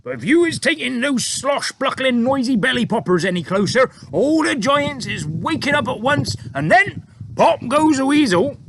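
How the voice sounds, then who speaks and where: A man's voice: very high voice, big pitch swings, normal speed, fairly bright tone, slight background noise, voice pushed up, exaggerated voice. A man voicing a whimsical big friendly giant. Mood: cautioning. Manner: mangled grammar, whimsical made-up words. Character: big friendly giant, odd-speaking and kind.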